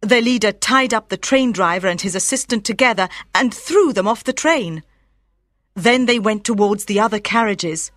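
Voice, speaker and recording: Casting: female audiobook narrator, medium pitch, careful diction, measured storytelling, close dry studio sound. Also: fairly bright tone, no room sound. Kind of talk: scripted story narration for language learners.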